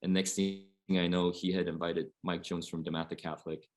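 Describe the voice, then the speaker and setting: A man in his forties from Oregon with a low, deep voice tells a long, winding story on a coaches' webinar panel. He rambles on with steady fillers between his points. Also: a little fast. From Oregon, USA. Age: 40s. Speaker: high school basketball coach.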